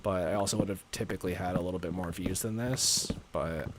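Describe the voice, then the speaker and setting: Tiefe, lässige nordamerikanische Männerstimme. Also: male voice, steady tone, brisk, background noise. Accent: North American. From North America. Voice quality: low natural voice, chill voiceover tone. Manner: rambling, many "like" fillers, laughs often. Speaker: streamer. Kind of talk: casual livestream chat.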